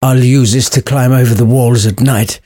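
A man's voice: medium pitch, fairly even intonation, moderate pace, mellow, voice pushed up, lightly acted. A man voicing an earnest, lovestruck young man in a storybook retelling. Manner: earnest, eager.

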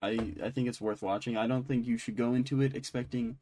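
A man's voice: medium pitch, steady tone, a little fast, dark tone. A man co-hosting a podcast, chatting casually about pop culture and thinking aloud as he rambles. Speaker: podcast co-host.

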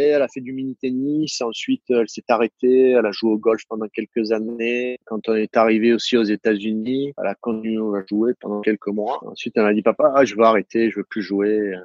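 A middle-aged man with a southern French accent talks in a halting, repetitive way, full of fillers. His voice is a little husky. The recording is dry and slightly dull. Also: mid-range voice, fairly even intonation, a little mellow, dry recording. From southern France. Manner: halting, many fillers and repeated words. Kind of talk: casual interview talk. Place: living in the United States.

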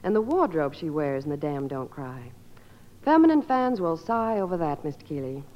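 A woman's voice: deep voice, big pitch swings, normal speed. An American woman delivering scripted 1950s radio advertising as a spokeswoman. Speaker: commercial spokeswoman. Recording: muffled old radio recording, hall reverb.

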